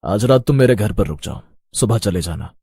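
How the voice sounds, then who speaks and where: A man voicing a solemn villager who gives ominous warnings in an animated horror story. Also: fairly low pitch, moderate pace, husky, no room sound, studio quality.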